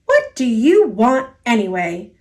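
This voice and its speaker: A woman reader plays a brave little old lady from a picture book, with an American accent. She is bold, plain-spoken and unflappable, in a fairly high female voice. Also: sing-song, a little slow, darkish tone. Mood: brave, defiant.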